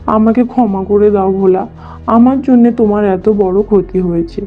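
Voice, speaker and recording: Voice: mid-range voice, normal speed, close to an everyday voice. A performer playing a humble, apologetic village barber in a cartoon, pleading. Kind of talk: cartoon dialogue. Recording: tinny narrow-band audio with music.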